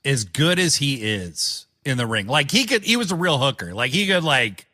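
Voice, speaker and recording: A man's voice: medium pitch, sing-song, normal speed, pushed and bright. A man in his forties co-hosting a podcast, talking bluntly and wryly, with plenty of swearing. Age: 40s. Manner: blunt, profane, wry. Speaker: podcast co-host. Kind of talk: casual chat.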